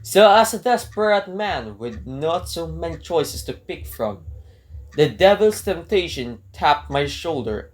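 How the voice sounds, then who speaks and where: A man acts out a desperate jailed burglar in a radio play. He speaks at a middling pitch in formal, old-fashioned phrases. His delivery is earnest, theatrical and pleading. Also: natural voice. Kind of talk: dramatic radio play monologue and narration.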